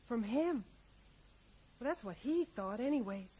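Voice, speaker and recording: An actress playing a plain-spoken, resigned small-town American widow in a radio drama, rambling and often ending on a little question. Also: female voice, fairly high pitch, expressive, unhurried, mellow, slightly rough. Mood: matter-of-fact, reflective. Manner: rambling, ends remarks with tag questions. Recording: faint noise, 1980s radio play.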